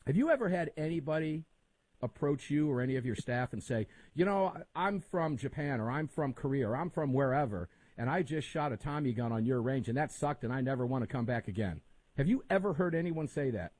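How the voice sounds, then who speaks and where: An American man hosts a live talk radio show in a casual, conversational way. His voice is soft-edged and mellow, with some huskiness. He speaks straight to his audience. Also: medium pitch, lively intonation, a little fast, no echo. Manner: conversational, addresses audience directly.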